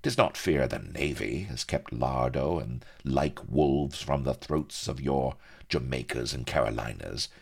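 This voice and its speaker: A man's voice: rumbling low, measured pace, creaky, darkish tone, slightly rough, full character voice. A man voicing a foppish, swaggering pirate who mocks in affected archaic speech. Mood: jeering, proud. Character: foppish, jeering, swaggering male pirate dandy.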